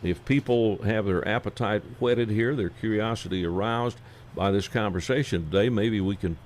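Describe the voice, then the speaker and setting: A man hosting a casual radio interview, folksy and halting, with many fillers. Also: on the low side, a little hiss or hum. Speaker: radio host.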